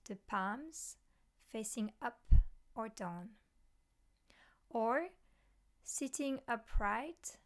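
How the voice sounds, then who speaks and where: A woman teaching mindfulness, leading a guided meditation in measured short phrases with pauses between them. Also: fairly high pitch, fairly bright tone.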